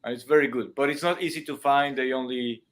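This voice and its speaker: A man's voice: medium pitch, fast talker, a bit bright. Man explaining with restarts, non-native accent. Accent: non-native English speaker. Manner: explains with everyday comparisons, many restarts. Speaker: dance and music teacher; guest. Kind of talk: casual live-stream chat. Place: room at home with cameras.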